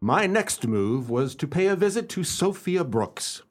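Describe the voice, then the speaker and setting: A man playing a formal, self-assured gentleman detective in a radio play, speaking in a deliberate, theatrical way. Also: on the high side, very animated, faint music behind. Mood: courteous, businesslike. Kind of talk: radio play acting with first-person narration.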